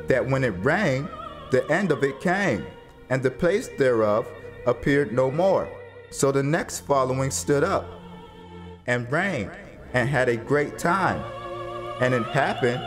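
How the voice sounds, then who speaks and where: A man with a mid-pitched voice narrates scripture as drama. His delivery is very slow and solemn, measured like grave storytelling, with music faintly playing behind him.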